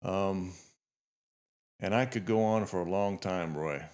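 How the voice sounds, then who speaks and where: A man's voice: low voice, measured pace, darkish tone. A fifty-year-old man hosting a podcast, talking earnestly and reflectively to his guest. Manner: earnest, reflective, frequent restarts. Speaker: podcast host. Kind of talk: heartfelt interview introduction.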